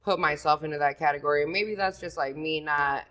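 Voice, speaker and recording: A woman with a deep, raspy, sleepy-sounding voice, talking about singing as a podcast guest in loose, profane casual chat. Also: fairly quick, a bit bright. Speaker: singer guest.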